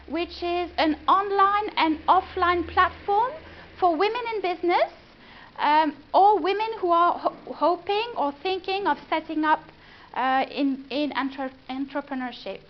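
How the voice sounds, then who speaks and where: A woman with a French accent, a business consultant giving a live presentation and chatting with the audience. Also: extremely high pitch. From France. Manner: chatty, engaging, asks the room questions. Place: event room before a live audience. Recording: dull lo-fi event recording.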